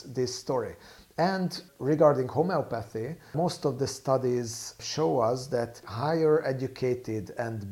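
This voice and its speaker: A man whose first language is Hungarian, speaking as a guest author on a podcast, measured and halting with many fillers. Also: medium pitch, a little mellow. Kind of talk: podcast interview.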